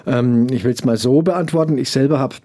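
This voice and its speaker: A middle-aged man with a Franconian accent, answering interview questions in a measured way, often pausing with fillers as he searches for words. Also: mid-range voice, warm tone. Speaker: interview guest.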